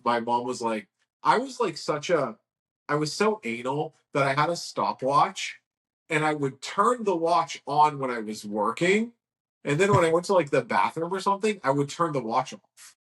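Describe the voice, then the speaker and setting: A man in his thirties, a guest in a casual interview, talking in a frank, rambling way and telling lively stories. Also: mid-range voice. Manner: rambling, frank, lively storytelling with acted quotes. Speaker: guest, agency owner.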